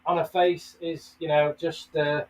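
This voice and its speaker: A man's voice: a little high, flat delivery, unhurried, far from the mic, rough recording. A man hosting a casual livestream, chatting and greeting viewers by name. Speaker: livestream host. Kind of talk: casual live chat.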